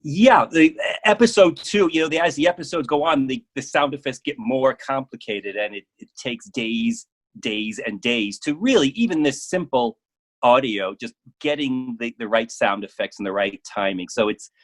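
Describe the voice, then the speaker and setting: Halting, conversational man. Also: mid-range voice, animated. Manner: halting, repeats and restarts words. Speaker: interview guest, playwright. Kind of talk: casual interview conversation.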